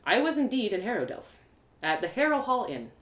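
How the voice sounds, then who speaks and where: Expressive woman storyteller, lively pitch. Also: a little fast, echoey room. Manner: expressive, theatrical. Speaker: storyteller, audio drama creator. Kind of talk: live first-person dramatic story narration.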